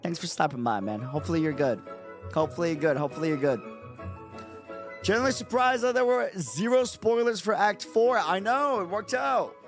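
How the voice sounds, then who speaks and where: A man chatting on a livestream after a sleepless night, his voice a bit high and gone raspy and hoarse. He rambles, doubles back on his words and fills gaps with "um" and "yeah." Quiet music plays behind him. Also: animated, a little fast. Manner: rambling, repeats phrases, frequent fillers. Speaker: streamer. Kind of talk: casual livestream chat.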